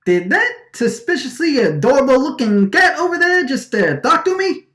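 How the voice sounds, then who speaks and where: A woman plays a brash vacuum-faced wiseguy from a radio drama, pitched high and swinging wildly. He is agitated and indignant, stammering and hammering on his phrases. The recording sounds like an echoey room. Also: very animated, normal speed, a bit bright. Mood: puzzled. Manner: agitated, stammering, repeating lines emphatically. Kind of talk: radio drama line takes, gangster-movie wiseguy delivery.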